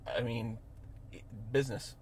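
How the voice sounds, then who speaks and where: A man's voice: medium pitch, steady tone, a little slow, breathy edge. A man co-hosting a casual sports podcast, hesitant, often stammering and restarting his sentences. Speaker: podcast co-host.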